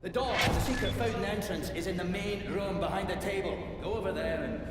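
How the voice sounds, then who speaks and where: A man's voice: high voice, a little fast, natural voice. A man playing an irritable, distracted, sarcastic handyman in a video game, testy and rambling. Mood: testy sarcasm. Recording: hall reverb, noisy ambience.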